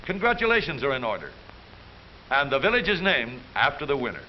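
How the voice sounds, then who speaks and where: American male newsreel narrator, 1930s style, jaunty, highly animated pitch, muffled hissy old soundtrack. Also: brisk. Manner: jaunty, theatrical, wisecracking delivery.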